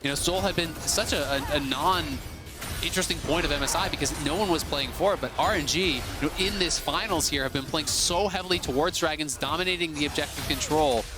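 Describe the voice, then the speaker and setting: Male color caster, high voice, conversational strategist, music under. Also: brisk. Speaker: color commentator. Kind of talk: esports analysis commentary. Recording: game music behind.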